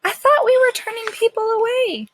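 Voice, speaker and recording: A woman voicing a brisk, exasperated female shelter worker in a storybook, speaking in an urgent, no-nonsense way. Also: extremely high pitch, a bit bright, dry recording, voice pushed up, poor audio.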